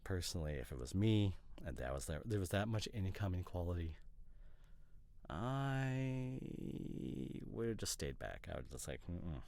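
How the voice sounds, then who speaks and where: A man playing an opinionated, teasing boyfriend in an ASMR roleplay, chatting casually in a hesitant, rambling way with mock seriousness and a gravelly voice. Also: deep voice, big pitch swings, normal speed, a little mellow, dry recording. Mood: earnest, argumentative. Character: opinionated, teasing boyfriend debating couple's costume ideas.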